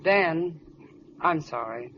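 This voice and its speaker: Evasive female schemer, intimate, expressive, faint noise, vintage radio drama. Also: low voice, drawn-out words, heavily acted. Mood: coaxing, apologetic. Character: evasive, soft-spoken female schemer in a crime story. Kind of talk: 1940s radio crime drama acting. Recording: muffled, slightly noisy old radio recording.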